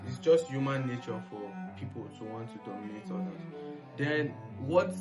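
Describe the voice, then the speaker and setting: A man's voice: medium pitch, moderate pace. A man with a Nigerian accent speaking as a panelist in a casual, educational discussion, with a reflective, explanatory manner. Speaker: panelist on a history discussion series. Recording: music playing behind the voice.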